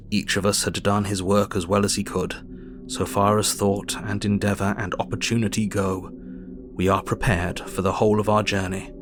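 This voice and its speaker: Man acting a low-voiced, sober Victorian doctor. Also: lightly acted. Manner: measured, formal. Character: earnest, sober Victorian doctor reading his diary. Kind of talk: dramatic diary narration.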